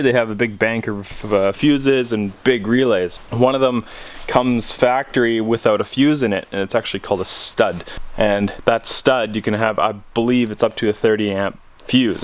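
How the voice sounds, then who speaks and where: A man giving a casual, rambling hands-on walkthrough as a vlogger, explaining things informally with plenty of slang. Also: on the low side. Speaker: vlogger showing his truck radio install. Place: in and around a parked truck.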